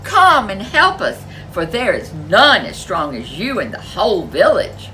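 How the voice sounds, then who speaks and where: A woman voicing an excitable village neighbor in a folk tale, exclaiming with urgency and flattery. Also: medium pitch, measured pace, far from the mic, a little hiss or hum, low-quality recording. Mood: excited, flattering. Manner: exclaiming, emphatic. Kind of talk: storybook character voice.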